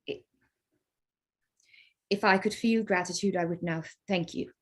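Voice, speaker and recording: A woman acts out a proud young gentlewoman in a Regency drama reading. Her voice has a slightly husky edge and sits at an ordinary female pitch. She phrases everything formally and pointedly, with sharp-tongued indignation. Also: unhurried. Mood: cool, restrained. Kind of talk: staged reading of a period drama.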